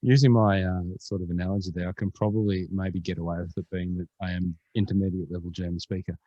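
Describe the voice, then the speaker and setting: Aussie guy cohosting a golf podcast, low mellow voice, dry sound, rambles and jokes around. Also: fairly even intonation, fast talker, no room sound, dull audio. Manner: jokey, rambling with fillers. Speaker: podcast co-host. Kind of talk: casual podcast chat.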